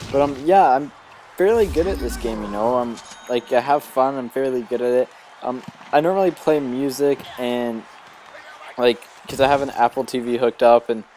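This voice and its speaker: A man with a slightly hoarse voice from a cold, giving rambling, casual gameplay commentary full of ums and "you know" as the host of a gaming video. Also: mid-range voice. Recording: steady background noise under the voice.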